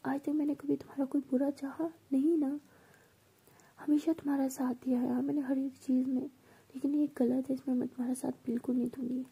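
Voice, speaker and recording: A woman's voice: high voice, mellow, rough recording. A woman whispering the lines of a stern young woman scolding her best friend.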